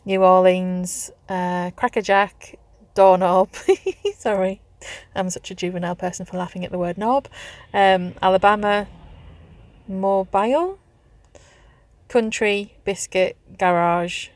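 A woman's voice: medium pitch, fairly even intonation, takes it slowly, darkish tone, dry recording. A woman from Northeast England with a Northeast English accent, chatting casually in a car with lots of hesitant ums. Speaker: vlogger doing an accent challenge. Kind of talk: casual chat. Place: sitting in a car.

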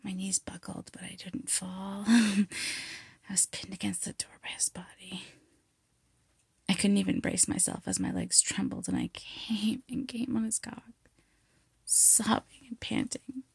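A woman performing an intimate, sultry audio roleplay monologue, teasing and breathy, often slipping into a whisper, close to the mic. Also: mid-range voice, steady tone, unhurried, no room sound. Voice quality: breathy, husky, gravelly. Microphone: close mic, dry sound.

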